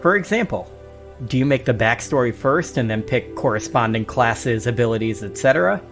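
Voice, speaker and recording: A man with a medium-pitched voice hosts a casual podcast discussion. He speaks to listeners as the one running the show. Music plays behind him. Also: lively intonation, moderate pace. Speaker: podcast host.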